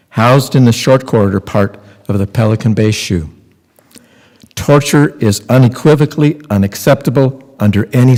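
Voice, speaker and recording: A man in his sixties reading scripted testimony aloud with deliberate, emphatic phrasing. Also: medium pitch, warm tone. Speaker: reader in a readers theater. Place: large temple sanctuary, at a lectern. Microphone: podium microphone on a boom stand. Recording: long hall reverb.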